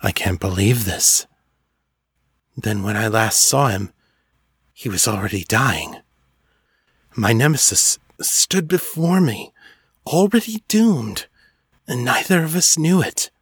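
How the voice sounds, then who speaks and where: Man doing a grieving dark lord, ranting, sobbing, high and wild when shouting, dry close sound. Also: expressive, slow, pauses often, slightly rough, dry recording, heavily acted. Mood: stunned. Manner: anguished ranting, breaking into sobs. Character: brooding male dark lord, grieving and volatile.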